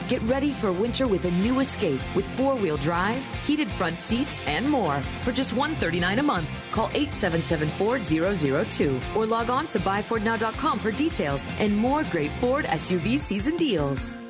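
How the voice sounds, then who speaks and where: A woman's voice: mid-range voice, normal speed, hardly any pauses. A woman reading an upbeat radio car commercial. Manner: upbeat, lively delivery. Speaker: ad announcer. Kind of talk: scripted radio ad read. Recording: radio ad over music bed.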